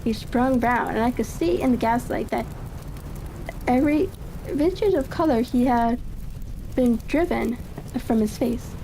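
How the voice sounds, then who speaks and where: High-pitched woman reading a story, halting. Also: dark tone. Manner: halting, stumbles over words. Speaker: audiobook reader. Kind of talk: tranquil ASMR story reading. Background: ambient fireplace crackle.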